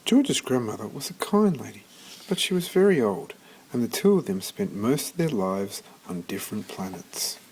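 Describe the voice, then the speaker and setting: A man reading a children's picture book aloud as scripted narration, expressively and with deliberate pauses. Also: medium pitch, very animated, darkish tone, a little hiss or hum, low-quality recording. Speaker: storybook reader.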